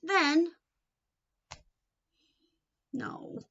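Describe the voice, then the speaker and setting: A woman reading a picture book aloud to young children in an expressive, sing-song way, coaxing them with questions. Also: very high-pitched, extremely slow, lo-fi sound.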